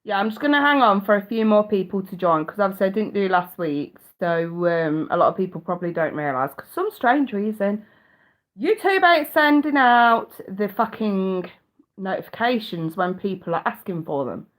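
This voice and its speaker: A woman's voice: mid-range voice, normal speed. A middle-aged woman with a blocked nose chatting casually with viewers on a live stream, swearing now and then.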